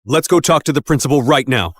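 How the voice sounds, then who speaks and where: A man voicing a kind, protective middle-aged father in an animated story, speaking earnestly and expressively. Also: medium pitch, quick pace, dry recording, lightly acted. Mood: indignant.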